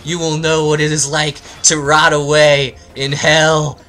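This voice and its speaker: A man's voice: a little high, little pitch movement, a little slow, bright, forceful tone. A man voicing a grandiose, vengeful warrior father with melodramatic menace in a comic story. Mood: menacing.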